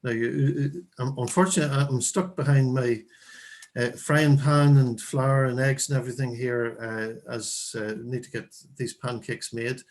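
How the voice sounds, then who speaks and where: An Irish man hosts a relaxed chat, his talk full of stammers, ums and false starts. He sounds busy with cooking as he talks, and his voice is of middling pitch. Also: normal speed. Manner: hesitant, stammering, lots of fillers. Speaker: host. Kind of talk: casual chat.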